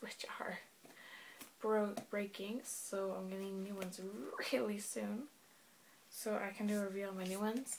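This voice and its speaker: A woman's voice: medium pitch, poor audio. Teen girl vlogger, chatty and rambling, mid-range voice, cheap webcam mic with faint hiss in a small bedroom. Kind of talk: casual show-and-tell vlog. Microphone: built-in webcam mic.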